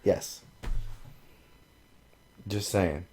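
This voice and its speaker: Hoarse guy cohosting a podcast, rambly, laughs a lot. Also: low voice, drawn-out words, a little mellow. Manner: laughs often, rambling and loose. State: hoarse, losing his voice. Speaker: podcast co-host. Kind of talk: casual chat.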